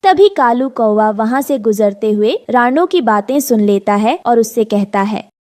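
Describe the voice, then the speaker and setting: A woman's voice: on the high side, lively intonation, a little slow, darkish tone, no echo, studio quality. A woman narrator reading a scripted children's story.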